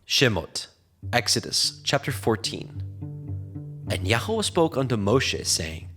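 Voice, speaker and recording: A man narrating scripture from a script in a measured, solemn manner. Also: mid-range voice, very animated, pushed and bright, soft background music.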